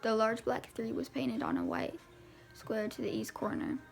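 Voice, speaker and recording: A woman reads a novel aloud in an informal, amateur way, tripping over words here and there. Her pitch is a little high and moves around quite freely, with a heavy creak running through it. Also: huge pitch swings, normal speed, faint music behind. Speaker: amateur audiobook reader. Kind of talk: reading a first-person novel aloud.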